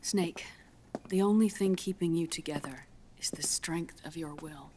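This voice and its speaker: A grave female scientist in a dramatic video game cutscene. Her low voice moves in measured, deliberate phrases, earnest and clinical, with breathy pauses. There is noise behind her. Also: warm tone. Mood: somber, urgent. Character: grave, earnest female scientist explaining grim news gently.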